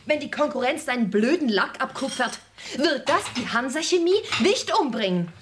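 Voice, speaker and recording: An actress playing a bitter, mocking wife in a radio drama, sneering and contemptuous. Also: female voice, high-pitched, sing-song, normal speed, noisy background. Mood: bitter scorn.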